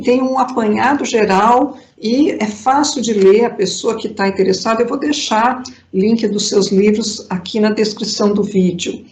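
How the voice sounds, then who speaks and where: A woman with a Brazilian Portuguese accent hosting an interview, chatty and enthusiastic. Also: fairly high pitch. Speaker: astrologer and interview host. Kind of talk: casual interview chat. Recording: roomy echo.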